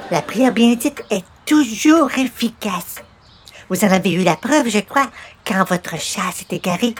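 A woman's voice: medium pitch, expressive, measured pace, a little hiss or hum, close to an everyday voice. A woman playing a pious, prim and insistent neighbour in a radio comedy, speaking formally. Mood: sententious. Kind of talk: old-time radio comedy acting.

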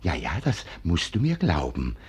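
An actor playing a doddering, doting old nobleman in a 1940s radio play, husky and stammering, evasive with his wife. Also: low voice, wildly swooping pitch, normal speed, a little mellow, full character voice. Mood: doting, flustered. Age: older. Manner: stammering, hesitant.

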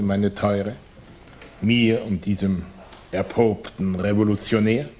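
An actor playing a suave, pompous émigré revolutionary leader in a radio play, speaking grandly and condescendingly with measured hesitations. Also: male voice, lowish voice, a little mellow. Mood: gallant. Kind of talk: German radio play acting.

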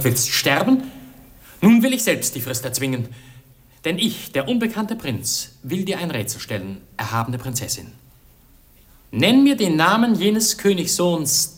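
Ardent young prince, highish swooping voice. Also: male voice. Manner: impassioned, declamatory. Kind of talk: theatrical German radio play acting.

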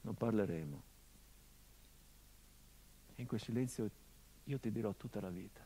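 A man's voice: on the low side, moderate pace, warm tone, a little hiss or hum, close to an everyday voice, poor audio. An actor playing a middle-aged son haunted by his father's murder, in an intimate, brooding theatrical monologue for radio. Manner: intimate and brooding, swelling into anguished outbursts. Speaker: stage actor.

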